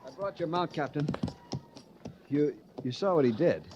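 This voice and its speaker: An actor playing an anxious junior cavalry officer in urgent, dutiful, clipped 1950s Western radio drama style. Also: male voice, fairly high pitch, lively intonation, moderate pace, natural voice. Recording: muffled old radio recording, loud battle noise.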